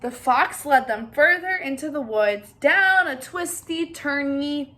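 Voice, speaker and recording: A woman with a high, bright voice reads a children's story aloud. Her delivery is expressive and interactive, turning now and then to the listeners with questions. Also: slow, slight background noise.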